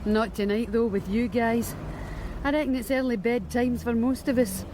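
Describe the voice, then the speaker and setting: An actress playing a blunt, streetwise young street worker with a Scottish accent in a radio drama, wry and matter-of-fact. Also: female voice, a little high, fairly even intonation, normal speed, background noise, lightly acted. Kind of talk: BBC radio drama acting.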